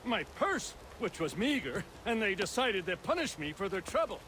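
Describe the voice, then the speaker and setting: Polite, high-voiced colonial craftsman. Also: big pitch swings, normal speed, noisy background. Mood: grateful, rueful. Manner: polite, old-fashioned, wordy. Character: courteous, modest colonial woodworker, shaken but chatty. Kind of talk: video game cutscene acting.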